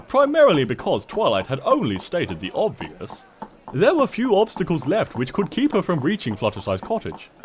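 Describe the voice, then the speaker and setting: A man's voice: on the high side, slight background noise. A man voicing a smug, mock-innocent trickster creature who narrates a comic storybook tale in a pompous, wry, theatrical way.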